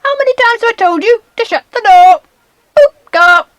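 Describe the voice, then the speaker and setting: Cheeky parrot, super high squawky voice all over the place, bossing everyone, audiobook play. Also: lively intonation, normal speed, a bit bright, no echo. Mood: bossy scolding. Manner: scolding, imperious. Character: bossy, cheeky pet parrot mimicking scolding phrases. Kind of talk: audiobook dramatisation.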